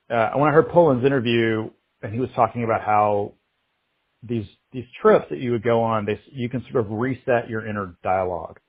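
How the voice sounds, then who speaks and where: A man in his thirties speaking as an interview guest, halting and reflective, restarting his sentences often. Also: medium pitch, breathy edge, lo-fi sound. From United States. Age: 30s. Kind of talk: candid interview.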